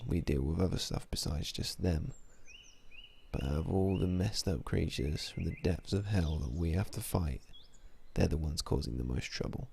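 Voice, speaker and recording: A man playing a weary, cynical vampire-hunting sniper in an ASMR audio drama, speaking in a brooding, low-key, deliberate way. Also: creaky, a little mellow, slight background noise. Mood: grim, matter-of-fact.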